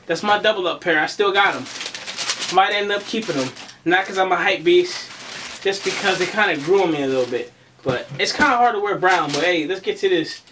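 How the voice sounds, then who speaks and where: A man, slightly raspy and under the weather with a cough, hosting a casual sneaker unboxing vlog in a slangy, laid-back way. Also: sits high, animated, brisk, background noise. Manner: slangy, laid-back, addresses viewers as y'all. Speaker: sneaker vlogger.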